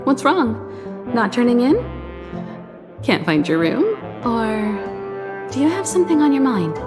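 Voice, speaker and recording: Calm, in-charge crew leader in a game, even and measured, music under her. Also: on the high side. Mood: thoughtful. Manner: measured and authoritative, gives calm orders. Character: poised, composed woman leading a crew. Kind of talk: video game dialogue.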